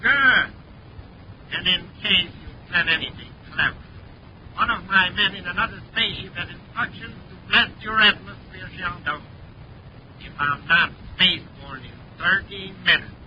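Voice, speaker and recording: An actor playing a menacing, scheming space crime boss in 1950s radio serial style, with emphatic, threatening delivery. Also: male voice, high-pitched, measured pace, pushed and bright, heavily acted. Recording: muffled, hissy 1950s radio broadcast.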